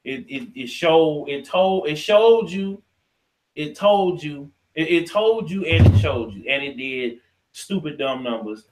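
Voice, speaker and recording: An American man co-hosting a casual podcast debate, talking in slang, often halting and repeating himself. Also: fairly high pitch, animated, echoey room, a little hiss or hum, poor audio. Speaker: podcast co-host.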